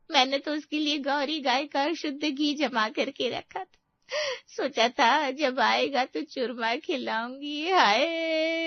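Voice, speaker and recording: A woman's voice: high-pitched, no room sound, muffled recording, pitched above the performer's own voice. A woman voicing a tender older village aunt with dramatic, exclamatory delivery in a story. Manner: exclamatory, lamenting. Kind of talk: Hindi audio story dramatization.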